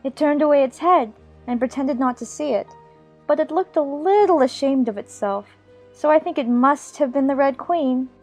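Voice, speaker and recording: A woman's voice: high-pitched, normal speed, a little mellow, faint music behind. A woman playing a chatty, imaginative little girl in an audiobook, fond and bossy, prattling on in playful scolding. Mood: triumphant, bossy. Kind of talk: dramatized audiobook character acting.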